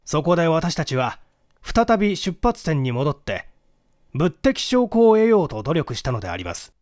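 A man's voice: fairly high pitch, sing-song, moderate pace, a little mellow, dry recording. A man performs a police detective from a mystery audiobook. He lays out his reasoning step by step in formal, polite speech, with very varied intonation. The sound is dry and close. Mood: earnest, emphatic. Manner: formal, orderly, explanatory. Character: methodical male police detective explaining his deductions formally. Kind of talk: dramatic audiobook reading.

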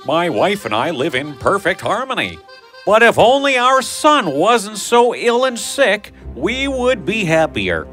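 A man playing a humble, earnest family man in an animated fairy tale, speaking formally. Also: high voice, a little slow, exaggerated voice. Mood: earnest, regretful. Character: humble, contented shepherd and honest townsman husbands. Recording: music bed behind the voice.

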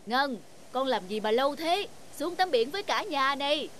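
A woman's voice: high-pitched, lively intonation, normal speed, a bit bright, a little hiss or hum. A woman playing an exasperated, reproachful mother who scolds her children in a cartoon. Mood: exasperated, scolding. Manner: nagging, reproachful. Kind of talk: cartoon dub.

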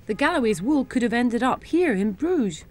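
Young woman, schools TV presenter, clear simple explaining, somewhat high voice. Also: lively intonation, moderate pace, darkish tone.

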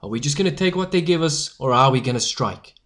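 Defiant streetwise newsboy leader, male actor, higher-pitched, commanding and slangy, for audio drama. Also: animated, quick pace, a bit bright. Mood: rallying.

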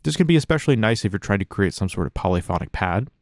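A man narrating a software tutorial as an instructor, in a casual, conversational, explanatory manner, close to the mic. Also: on the low side, animated, quick pace, over music. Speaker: music production tutorial instructor.

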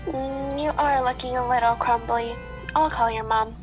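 A woman's voice: fairly high pitch, steady tone, moderate pace, music in the background, natural voice. A woman playing a caring grown-up cookie lady in a children's radio play. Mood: kindly.

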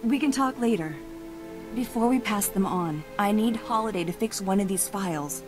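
A woman's voice: medium pitch, normal speed, music in the background, close to an everyday voice. A woman playing an earnest, eager young Jedi apprentice in a video game cutscene. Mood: reassuring, earnest. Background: loud game score.

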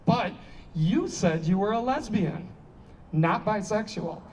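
A man's voice: high-pitched, animated, slow pace, darkish tone. Guy acting awkward defensive man, hesitant n wry, outdoor play reading, bit far from mic, some noise. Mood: hesitant, earnest. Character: awkward, defensive older man fumbling apologies. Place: outdoor stage against a block wall. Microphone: handheld stage microphone, heard from afar. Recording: distant handheld mic, noticeable background noise.

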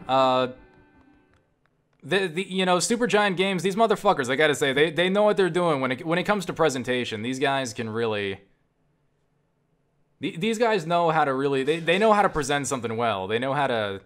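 A man giving casual, profane gameplay commentary, enthusiastic but halting, often restarting his phrases. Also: on the high side, lively intonation, a bit bright. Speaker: gamer and game reviewer. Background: game music and sound.

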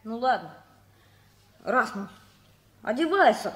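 A woman's voice: a little high, sing-song, slow pace. An actress playing a cheeky, sceptical orphan boy in a radio play, blunt, boyish and teasing. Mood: concerned. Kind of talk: 1960s Soviet radio play acting.

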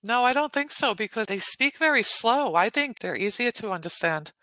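A woman's voice: a little high, animated, moderate pace, bright, forceful tone, no echo, poor audio. An older woman with a New York accent, an online English tutor, chatty and direct over a headset.

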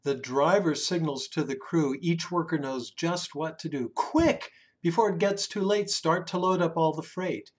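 A man reads a children's picture book aloud expressively, drawing out words and hushing the ends of phrases. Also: a little high. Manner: dramatic, with drawn-out words and hushed phrase endings. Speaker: children's story reader. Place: small room indoors at home.

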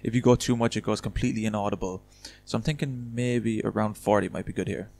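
A man hosting a casual software tutorial, explaining things in an informal, chatty way. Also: lowish voice, fairly even intonation, fast, creaky. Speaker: tutorial host.

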